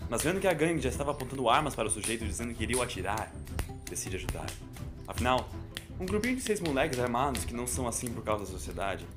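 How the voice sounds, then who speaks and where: A man with a Brazilian accent narrating a scripted audio story. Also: medium pitch. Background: music.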